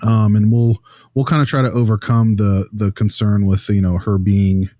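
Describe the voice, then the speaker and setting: A man's voice: on the low side, level tone, moderate pace, dark tone, dry recording, studio quality, natural voice. A man playing an earnest behavior consultant in an improvised role-play, reasoning aloud in a casual, rambling way. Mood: earnest, measured. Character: earnest male behavior analyst consulting on a child's case.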